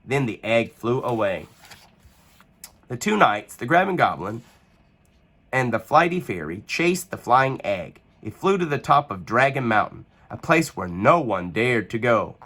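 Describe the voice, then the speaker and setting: A man reading a children's picture book aloud with expressive, animated storytelling. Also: mid-range voice, measured pace.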